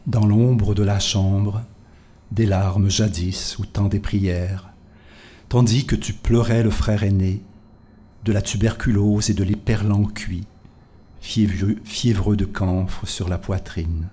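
Slow, deep-voiced man reading poetry. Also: little pitch movement. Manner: measured, solemn, hushed in places. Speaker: poet reading his work.

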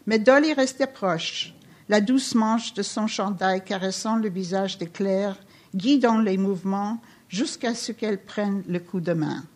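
A woman's voice: mid-range voice, measured pace. Female non-native French speaker, author, reads her prose aloud evenly with occasional restarts. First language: not French. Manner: steady literary reading with small restarts. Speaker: author reading her work. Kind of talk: reading a novel excerpt aloud.

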